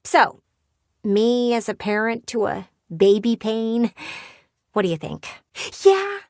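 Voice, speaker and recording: An actress voicing a playful, sardonic living window in a video game, with a teasing, theatrical and blunt delivery. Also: female voice, on the high side, big pitch swings, darkish tone, no echo, studio quality, heavily acted. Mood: wistful, curious. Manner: teasing, theatrical, blunt, sighs and drawn-out words. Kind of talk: video game character voice acting.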